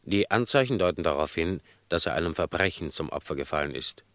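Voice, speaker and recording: An actor playing a formal newsreader reading press reports in a radio drama. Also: male voice, low voice, lively intonation, a little fast, a bit bright, no room sound. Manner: formal, declamatory.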